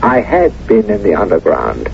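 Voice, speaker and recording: An actor in a 1970s radio drama playing a suave, menacing former collaborator, measured, deliberate and smug. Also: male voice, fairly low pitch, huge pitch swings, creaky, full character voice. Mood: coolly confessing. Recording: muffled, hissy old radio recording.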